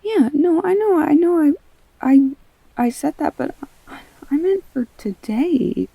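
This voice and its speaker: A woman playing a tender, reassuring girlfriend in an intimate audio roleplay, speaking haltingly and softly with a breathy, husky voice. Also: sits high, animated, dry recording, full character voice, poor audio. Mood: concerned, earnest. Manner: soft, halting, soothing, often near whispering. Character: tender, reassuring girlfriend comforting an upset partner.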